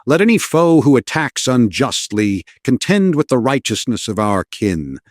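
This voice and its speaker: A man's voice: medium pitch, big pitch swings, normal speed, no room sound, clean studio sound. A man playing a noble, benevolent and commanding king in an audio drama, with stately delivery. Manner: stately, declamatory.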